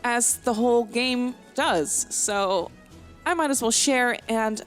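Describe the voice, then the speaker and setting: A woman's voice: on the high side, takes it slowly. A young woman from the Netherlands with a light Dutch accent, Dutch her first language, doing a casual, rambling voiceover with frequent giggles, fillers and restarts. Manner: chatty, giggly, self-deprecating, with many fillers and restarts. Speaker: Sims speed build vlogger. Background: soft background music.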